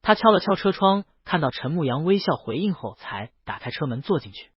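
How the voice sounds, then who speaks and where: A man's voice: a little high, sing-song, fairly quick, breathy edge, no room sound, highs cut off. A man reads a scripted story as an audiobook narrator, voicing the dialogue himself.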